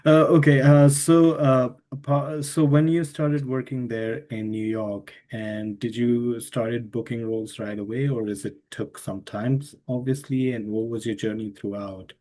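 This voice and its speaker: Halting male podcast host. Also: mid-range voice, flat delivery, dark tone. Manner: halting, with many fillers and restarts. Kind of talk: interview questions.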